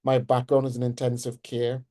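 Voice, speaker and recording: A man's voice: mid-range voice, completely flat delivery, moderate pace, dark tone. A man in his forties with a Trinidadian accent, giving a personal, conversational webinar lecture as a nursing professor. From Trinidad and Tobago. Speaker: guest speaker, nursing professor.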